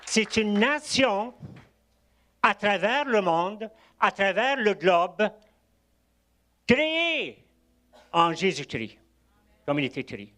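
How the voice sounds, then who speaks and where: A man's voice: high voice, very animated, slow pace, long pauses. Older Egyptian-born man preaching. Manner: warm storytelling with asides and chuckles. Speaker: guest preacher. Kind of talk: sermon.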